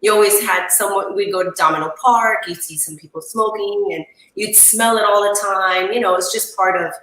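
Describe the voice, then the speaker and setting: Bright-voiced middle-aged woman in echoey room. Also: medium pitch, steady tone, moderate pace. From Chile, grew up in Miami. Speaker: guest on a cigar show. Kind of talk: casual chat, personal storytelling. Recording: roomy echo, distant from mic.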